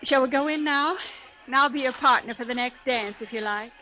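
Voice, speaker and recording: An actress playing an earnest, strong-willed American frontier wife in an old radio western, composed and direct. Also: female voice, high-pitched, brisk, sharp tone, rough recording. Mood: gracious, composed. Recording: old radio broadcast with music behind.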